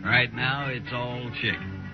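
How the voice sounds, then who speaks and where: A muffled vintage radio western, music running behind. A man plays a boastful, dangerous American drifter who speaks in an unhurried, folksy drawl and is quick to take offence. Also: mid-range voice, fairly even intonation, natural voice. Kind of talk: old-time radio western acting.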